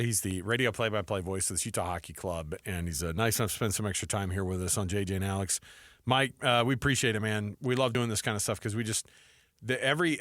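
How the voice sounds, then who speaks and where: A man's voice: fairly low pitch, fairly bright tone, no room sound. An American man hosting sports talk radio in a chatty, conversational, effusive way on a close studio mic.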